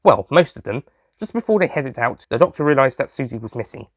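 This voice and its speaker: Animated man narrating a story. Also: medium pitch, brisk, no room sound, studio quality. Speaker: writer and narrator.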